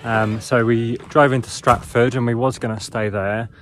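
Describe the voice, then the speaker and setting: A man in his thirties chats casually to the camera in a plain, mid-pitched voice. He talks at an easy pace and fills his pauses with frequent ums. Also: music in the background. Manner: relaxed, with many ums. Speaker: travel vlogger.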